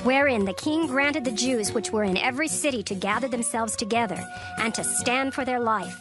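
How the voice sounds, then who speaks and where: A woman narrates scripture in a measured, formal reading with a slightly high voice. Music plays clearly behind her throughout.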